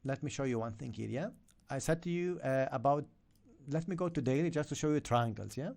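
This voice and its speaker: A man's voice: medium pitch, a little fast, gravelly. A man whose first language is Arabic, teaching a live-stream trading lesson with lots of energy and checking in with viewers as he goes. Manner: energetic, repeats "okay?" and "yeah?" to viewers. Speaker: trading coach.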